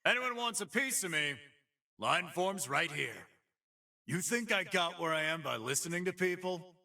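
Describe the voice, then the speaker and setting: An actor playing a brash, swaggering video game brawler who adores his mother, gruff and raspy, taunting in tough-guy slang. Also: high-pitched, expressive, moderate pace, sharp tone, distant mic, heavily acted. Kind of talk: punchy fighting game voice lines.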